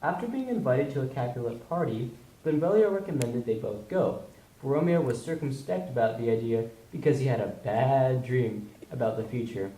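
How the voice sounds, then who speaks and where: A man's voice: mid-range voice, animated, moderate pace, mellow. A man narrating a comic storybook script with casual slang, recorded in an echoey room.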